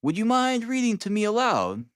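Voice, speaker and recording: A man voicing a brisk, dryly superior detective in an audiobook, calm and clipped in manner. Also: sits high, no echo, studio quality, voice pushed up. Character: brisk, dryly superior male detective, languid then energetic. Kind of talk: dramatised audiobook reading of Victorian detective fiction.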